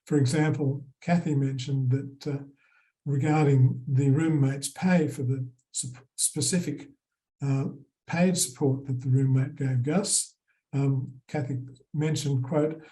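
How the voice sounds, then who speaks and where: Australian male presenter, warm dark timbre, steady formal delivery. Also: mid-range voice, takes it slowly, poor audio. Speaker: conference presenter.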